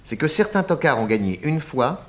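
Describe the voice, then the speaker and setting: Urbane detective, animated delivery. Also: male voice, fairly high pitch, moderate pace. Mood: thoughtful, interested. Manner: urbane, expansive, amused. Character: genial, self-assured American private detective of 1910. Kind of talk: 1960s French radio drama acting.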